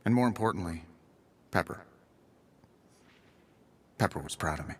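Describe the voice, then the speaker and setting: An actor playing a witty, self-assured male genius inventor in a video game, talking in quick wisecracks. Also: deep voice, lively intonation, husky. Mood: reflective. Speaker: billionaire inventor hero. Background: loud game music.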